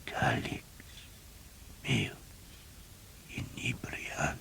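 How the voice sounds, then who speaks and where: A man's voice: takes it slowly, dark tone, close to an everyday voice. A man voicing a dying older male priest who speaks in a weak, strained whisper. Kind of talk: audiobook character reading.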